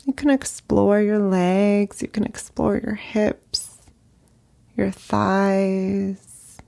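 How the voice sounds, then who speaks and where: A woman leading a guided meditation as a therapist, speaking gently in short phrases with long pauses. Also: mid-range voice, fairly even intonation, vocal fry. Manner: slow, gentle, pausing between short phrases.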